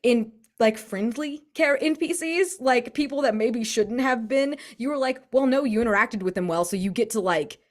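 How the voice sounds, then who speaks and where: A woman's voice: on the high side, normal speed, hardly any pauses. A woman in a casual post-game chat, speaking effusively as a tabletop role-playing player. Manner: effusive, gushing praise.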